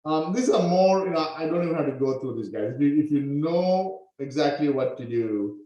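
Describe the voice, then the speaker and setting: A man from Sri Lanka teaches a live online class, full of energy and constantly checking in with "okay?". His voice sits a little high for a man. The sound bounces hard around a very echoey room. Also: normal speed, lo-fi sound. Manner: energetic, peppers talk with "okay?". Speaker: online business coach.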